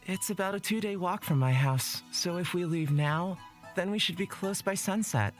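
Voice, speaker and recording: A man voicing a meek, gentle young forest guide in a fantasy game, soft-spoken and hesitant. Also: high voice, moderate pace, soft background music, natural voice.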